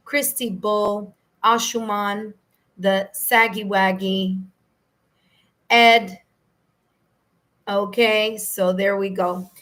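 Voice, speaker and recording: A woman with a New York accent and a slightly hoarse, raspy voice, hosting a live tarot stream in a friendly, chatty way. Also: mid-range voice, a bit bright. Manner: friendly, chatty, laughs easily. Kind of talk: casual live-stream chat, greeting viewers.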